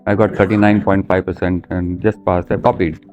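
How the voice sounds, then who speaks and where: Indian man in his 70s, low mellow voice, plain-spoken interview storyteller, slightly muffled recording. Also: flat delivery, fairly quick, faint music behind. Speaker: interview guest telling his life story. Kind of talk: conversational storytelling.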